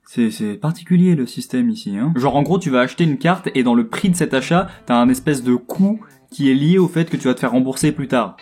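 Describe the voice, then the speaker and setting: A man's voice: medium pitch, expressive, brisk, few pauses, a little mellow, soft background music. A man in his twenties with an accent from France, hosting a casual, humorous video blog in a cheeky, slangy way, addressing viewers directly with teasing asides. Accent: French from France. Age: 20s. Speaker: host of a video blog about living abroad.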